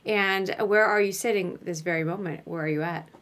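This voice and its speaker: A woman's voice: mid-range voice, normal speed, fairly bright tone. A woman hosting a casual interview podcast, chatting easily and poking fun at herself. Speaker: podcast host.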